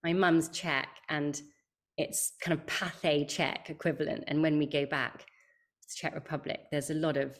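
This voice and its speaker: A woman's voice: low voice, little pitch movement, moderate pace. A woman hosting a podcast interview, asking curious, reflective questions.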